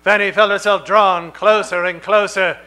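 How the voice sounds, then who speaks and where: A man's voice: high voice, fairly even intonation, normal speed, sharp tone. A man narrating a comic radio play in a dramatic scripted style.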